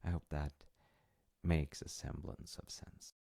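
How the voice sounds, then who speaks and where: A man playing a gentle, loving, wise dad in an intimate audio roleplay, speaking tenderly and hesitantly in short phrases with a gravelly, breathy voice. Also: a bass voice, level tone, measured pace, dry recording, studio quality, exaggerated voice. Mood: soft, earnest storytelling. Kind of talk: intimate audio roleplay, improvised-sounding storytelling.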